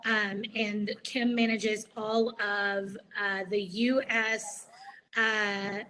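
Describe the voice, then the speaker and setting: A woman's voice: medium pitch, level tone, drawn-out words, bright, forceful tone, low-quality recording. A woman moderating an online video-call panel, chatty, with many filler words.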